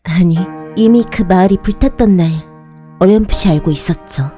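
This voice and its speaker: A remorseful, cursed young mother acted by a woman from a dark fairy-tale webtoon. Her voice is mellow and mid-pitched, with faint music underneath. She confesses softly and politely, full of sorrow and loneliness. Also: normal speed, lightly acted. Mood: sorrowful, regretful.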